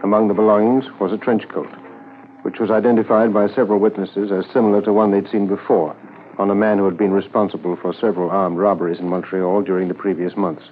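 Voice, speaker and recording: An actor with a Canadian accent playing a measured, matter-of-fact Mountie inspector who narrates a manhunt in a 1950s radio drama. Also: male voice, fairly low pitch, level tone, slight background noise, natural voice. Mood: sober, factual. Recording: tinny 1950s radio transcription, faint music.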